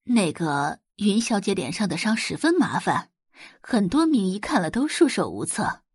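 A woman acting a doting middle-aged mother, audio drama, fond and fussing, animated pitch, close dry recording. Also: normal speed, breathy edge, dry recording. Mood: cautioning.

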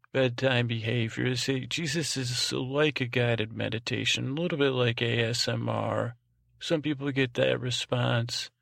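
A man hosting a sleep podcast with a meandering, halting bedtime ramble in a lulling, soothing tone, often repeating himself and trailing off. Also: medium pitch, fairly bright tone, no room sound, clean studio sound. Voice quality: creaky, gravelly. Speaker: podcast host. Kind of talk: rambling improvised bedtime monologue. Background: music.

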